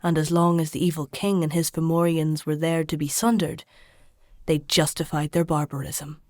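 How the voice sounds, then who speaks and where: An actress plays a solemn woman chronicler telling dark fantasy lore. Her voice sits low and is recorded very dry and close. The delivery is grave, scripted and deliberate, like formal storytelling. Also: flat delivery, no echo, lightly acted. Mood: solemn, ominous. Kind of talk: scripted dramatic narration.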